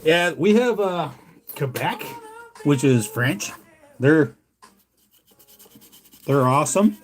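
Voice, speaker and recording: A man's voice: on the high side, lively intonation, slow pace. Laid-back, folksy Canadian man chatting. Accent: Canadian English. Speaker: livestream host, hobbyist lure maker. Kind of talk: casual chat with live viewers.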